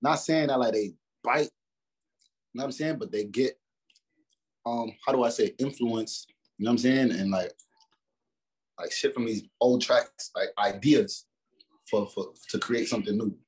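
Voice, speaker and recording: A man's voice: medium pitch, normal speed, pauses often, breathy edge, rough recording. A man in his twenties chatting casually as a rapper in an interview, loose and slangy with lots of fillers and restarts. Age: 20s. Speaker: rapper guest.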